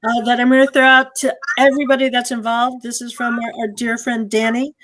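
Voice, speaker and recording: High-voiced woman hosting, effusively thankful. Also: flat delivery, brisk, background noise. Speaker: host of a community theatre online talkback. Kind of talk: informal Q&A moderating. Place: remote video call.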